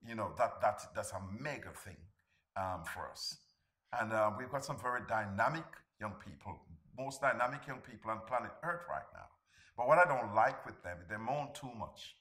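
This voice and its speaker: Halting low-voiced man. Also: lively intonation, sharp tone. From Britain. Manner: halting, stumbles over repeated words. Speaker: documentary interviewee. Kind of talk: candid interview talk.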